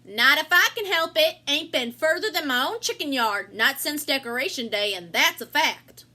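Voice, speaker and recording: Woman playing a high, animated American farm wife. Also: normal speed, full character voice. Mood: chatty, folksy. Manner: folksy, exclamatory country talk. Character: chatty, folksy rural farm wife. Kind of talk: amateur stage-play acting.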